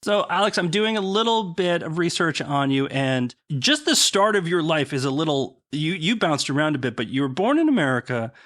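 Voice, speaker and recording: A man's voice: fairly high pitch, sing-song, brisk, few pauses, a bit bright. A man hosting a history podcast, talking in an upbeat, enthusiastic way. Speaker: podcast host. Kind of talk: casual podcast chat.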